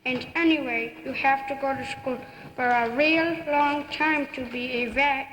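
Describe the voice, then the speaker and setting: Woman doing a slow, earnest puppet assistant. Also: sits high, fairly even intonation, a bit bright. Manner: slow, halting, simple phrasing. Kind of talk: live puppet show acting. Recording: echoey hall, faint noise.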